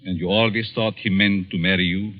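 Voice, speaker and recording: An actor playing a probing, sinister psychology professor who questions deliberately and insinuatingly in a 1940s radio drama style. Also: male voice, low-pitched, fairly even intonation. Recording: muffled old-time radio recording.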